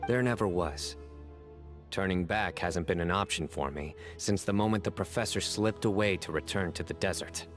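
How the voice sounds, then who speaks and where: Guy acting as stern young general, game character, really low voice, grave n deliberate, music behind. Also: close to an everyday voice. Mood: determined. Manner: grave, deliberate, restrained delivery. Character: stern, resolute young general of justice, measured and loyal.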